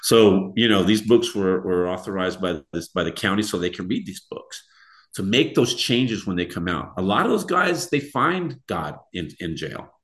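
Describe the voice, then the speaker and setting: A man with a deep voice, a podcast guest telling stories in an earnest, plain-spoken way. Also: animated, moderate pace, fairly bright tone. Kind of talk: casual storytelling.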